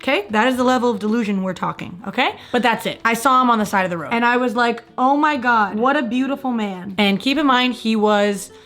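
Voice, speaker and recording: A woman's voice: on the high side, fairly quick, few pauses, fairly bright tone, soft background music. A young woman telling a story in a casual vlog, chatty and self-mocking, her voice a little strained from a sore throat. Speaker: vlogger. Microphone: switches between a mic and the camera mic.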